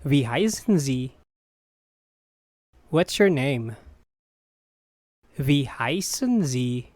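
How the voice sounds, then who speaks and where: A teacher's voice slowly repeating short phrases in a language drill, each one said clearly and evenly. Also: medium pitch, expressive, a little mellow, no echo, clean studio sound. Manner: deliberate, evenly paced repetition of short phrases.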